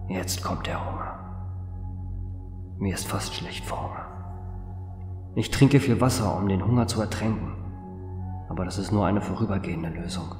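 A man playing a starving, isolated man in an audio drama diary, weary, halting and murmuring. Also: low-pitched, darkish tone, reverberant, long reverb tail, faint music behind. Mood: agitated.

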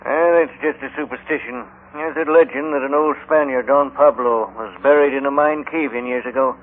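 An actor playing a matter-of-fact small-town frontier doctor in an old radio Western. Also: male voice, medium pitch, steady tone, normal speed, lightly acted. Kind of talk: 1950s radio Western acting. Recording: tinny old radio recording.

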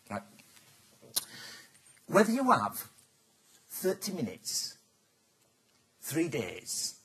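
Man, seminar speaker, animated pitch, chatty, quizzing the audience. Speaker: presenter. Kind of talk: business seminar talk to a live audience.

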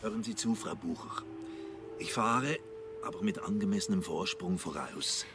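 A low-pitched elegant older gentleman in a radio drama, outdoors with clear ambient noise. He gives instructions formally, in a businesslike, matter-of-fact way. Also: expressive, normal speed. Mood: businesslike, urgent.

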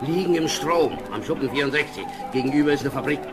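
An actor playing a sly, genial tavern keeper with a coaxing manner in an audio drama. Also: male voice, on the high side, fast talker, over music, natural voice. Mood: conspiratorial, coaxing. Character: sly, genial male tavern keeper plotting shady deals. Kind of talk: German children's audio drama acting.

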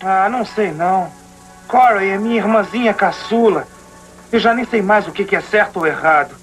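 High-pitched, troubled teenage boy. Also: moderate pace, fairly bright tone, poor audio. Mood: unsure, defensive. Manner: defensive, emotional. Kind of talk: dubbed cartoon acting. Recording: old cartoon soundtrack with music.

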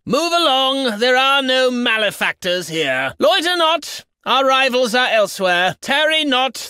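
Snobby medieval lord for a game, super high voice, pompous, swoops all over. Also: male voice, bright, forceful tone, no room sound, clean studio sound, heavily acted. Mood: haughty, brisk. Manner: pompous, condescending, ornate enunciation. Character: snobby, haughty medieval nobleman, contemptuous of peasants. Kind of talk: theatrical video game battle voice lines.

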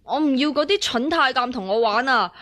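An actress playing a spoiled young princess who wheedles and pouts, in Hong Kong Cantonese radio drama style. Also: female voice, high-pitched, expressive, a little fast, fairly bright tone. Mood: whiny, wheedling. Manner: whiny, pouty, coaxing.

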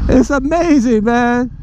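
A high-voiced man talks excitedly from the saddle, slangy and hollering now and then. His helmet mic picks up a steady rush of wind and engine behind him. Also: lo-fi sound. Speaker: motovlogger. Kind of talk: casual motovlog chat while riding. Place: outdoors on a motorcycle on the road. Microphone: helmet microphone.

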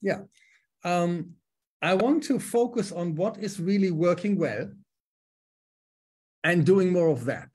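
A man's voice: high voice, expressive, slow pace, long pauses, a little mellow, breathy edge. A middle-aged man with a German accent, a business coach speaking on a video call from home in an upbeat, talkative way. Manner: upbeat, talkative, frequent ums. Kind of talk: casual interview on a video call. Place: home room with a lamp.

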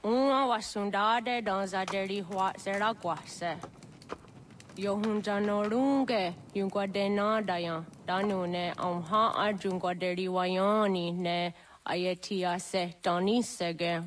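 A woman's voice: medium pitch, steady tone, slow pace, a little hiss or hum, close to an everyday voice. A woman playing a grave, wise old village elder with an aged voice and solemn manner, in a video game. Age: older. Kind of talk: dramatic video game dialogue.

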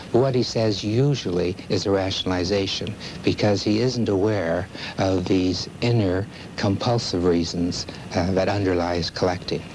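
A middle-aged man explaining things as an interviewed expert in a measured, formal manner. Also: sits low, darkish tone, rough recording. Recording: hissy, degraded 1970s videotape.

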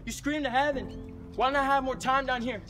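A young man performs spoken word poetry in a high-pitched voice. His delivery is rhythmic and earnest, leaning hard on the rhymes. Music plays underneath him. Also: steady tone, moderate pace, bright, forceful tone. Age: 20s. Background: music bed.

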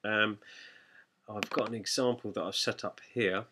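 Bright-voiced man giving a software tutorial. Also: on the low side, steady tone, measured pace, a little hiss or hum. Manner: explanatory, conversational. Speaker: music production tutor. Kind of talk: informal software tutorial narration.

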